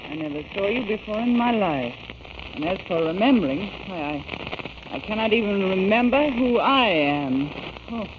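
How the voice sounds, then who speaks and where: A woman's voice: medium pitch, animated, moderate pace, lightly acted. An actress playing a wondering witch queen in a theatrical, formal 1930s radio serial style, on a crackly old recording. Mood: bewildered. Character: haughty amnesiac witch queen, wondering and submissive. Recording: crackly, dull vintage radio broadcast.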